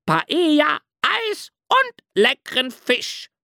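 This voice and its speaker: Eager shrieking parrot voiced by the narrator, high, dry sound. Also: big pitch swings, slow pace, creaky, bright, forceful tone, no echo, heavily acted.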